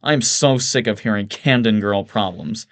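A man voicing a smug, lewd, loudmouthed young yuppie with a sneering, sarcastic delivery in an audiobook. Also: on the low side, moderate pace, close to an everyday voice. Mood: mocking. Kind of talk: audiobook character voice.

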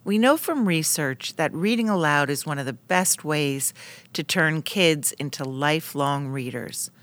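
A woman podcast host reading scripted introductions in a clear, presentational way. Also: deep voice, animated, measured pace, a bit bright.

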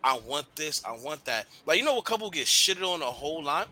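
Male podcast co-host, sharp bright voice, slightly high, loose slangy banter. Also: a little fast, slight background noise. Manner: animated, swearing, slangy. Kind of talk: casual chat.

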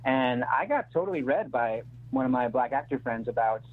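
Male writer guest, phone-like audio, mid pitch, halting, conciliatory, casual discussion. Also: animated, husky. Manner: halting, self-correcting, conciliatory. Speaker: comedy screenwriter, interview guest. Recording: phone-like band-limited remote line.

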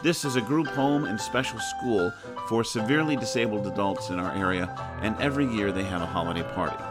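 A man's voice: on the low side, brisk. American man hosting over music. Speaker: host and announcer. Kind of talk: scripted podcast introduction.

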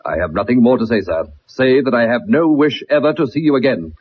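An actor playing a stern, indignant older upper-class colonel with a clipped, formal, imperious manner in a radio drama. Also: male voice, mid-range voice, lively intonation, a little fast, husky. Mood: cold anger. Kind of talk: 1960s radio drama acting. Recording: muffled old radio broadcast.

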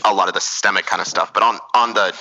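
Deep guy with a bright edge rambling through a soccer breakdown on a podcast. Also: fairly even intonation, quick pace, vocal fry, dull audio. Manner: rambling, analytical, many restarts. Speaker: podcast host. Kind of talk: casual soccer analysis chat.

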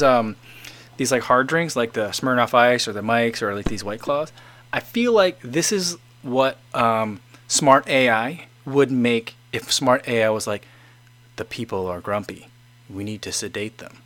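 A man's voice: mid-range voice, a bit bright. A man in his forties casually chatting as host, reading out viewer comments and rambling with many restarts. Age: 40s.

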